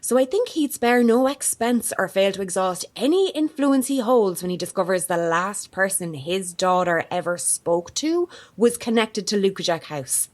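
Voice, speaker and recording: A woman playing a sharp-witted, persistent investigative reporter in an audio drama, with an articulate, probing manner. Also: mid-range voice, animated, moderate pace, hardly any pauses, lightly acted. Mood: pointed, confrontational. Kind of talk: naturalistic audio drama acting.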